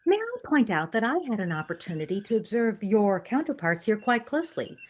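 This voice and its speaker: A woman playing a female alien science officer in a fan audio drama, unemotional, formal and precise, with deadpan delivery. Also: mid-range voice, brisk, highs cut off. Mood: dry, composed.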